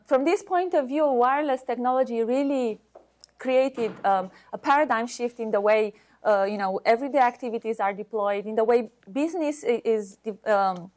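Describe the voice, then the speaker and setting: A woman with a Romanian accent giving an unscripted academic seminar talk as a university researcher, halting as she searches for words. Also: a little high, darkish tone.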